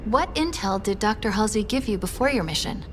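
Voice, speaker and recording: A woman's voice: medium pitch, animated, fairly quick, a little hiss or hum, lightly acted. A woman voicing a helpful, curious military AI companion in a video game, chatty, wry and eager. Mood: questioning.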